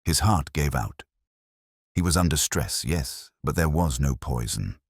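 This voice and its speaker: A man's voice: extremely low pitch, animated, a little slow, husky, dry recording, studio quality, full character voice. A man voicing a thin, nervous male doctor, evasive and insistent yet hesitant, in an audiobook mystery.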